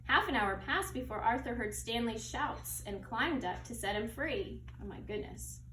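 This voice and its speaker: A woman's voice: fairly high pitch, normal speed. A woman schoolteacher reading a children's story expressively and explaining things to young pupils as she goes. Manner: expressive, teacherly, explains words. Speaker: second grade teacher. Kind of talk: read-aloud of a children's story with asides to pupils. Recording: roomy echo, distant mic, faint noise.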